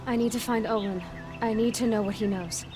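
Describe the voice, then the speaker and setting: A woman's voice: a little high, steady tone, a little fast, over music, close to an everyday voice. Blunt, determined young huntress voiced by a woman. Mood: firm, insistent. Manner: direct, questioning, firm. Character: determined young female hunter, guarded outlander, blunt and impatient. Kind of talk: video game voice acting.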